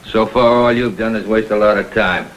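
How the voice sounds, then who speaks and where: An actor playing a blunt, sardonic, exasperated police detective in a vintage crime drama. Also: male voice, on the low side, fairly even intonation, moderate pace, fairly bright tone, lo-fi sound, natural voice. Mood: sardonic scolding. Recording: noisy vintage drama soundtrack.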